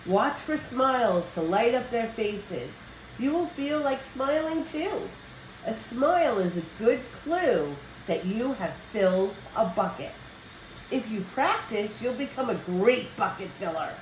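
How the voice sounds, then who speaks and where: An older woman reading a children's picture book aloud as a storyteller, with expressive, deliberate phrasing for young listeners. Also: a little high. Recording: roomy echo with background noise.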